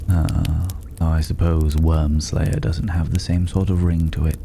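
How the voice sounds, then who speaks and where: A man playing a regal, teasing drifter with a secret in an intimate ASMR roleplay, speaking with wry condescension and drawn-out pauses. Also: very deep voice, level tone, warm tone, full character voice. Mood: wry, amused. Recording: clearly audible background noise.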